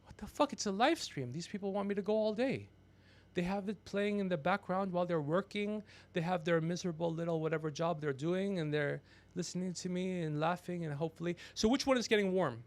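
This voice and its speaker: A man hosting a livestream, rambling comedically with blunt, profane, teasing banter and a creaky voice. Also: high voice, a little fast. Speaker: podcast livestream host.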